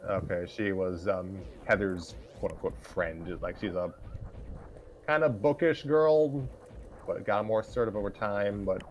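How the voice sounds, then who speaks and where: A man's voice: on the low side, a little slow, dull audio, a little hiss or hum. A man hosting and narrating a live read-along of a fan story. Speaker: host and narrator.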